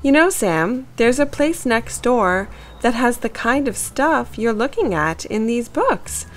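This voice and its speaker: A woman's voice: fairly high pitch, sing-song, slow, a little hiss or hum, voice pushed up. A woman voicing a bold, eager female mouse in a storybook, impatient and urging, with exclamations. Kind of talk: storybook character voice.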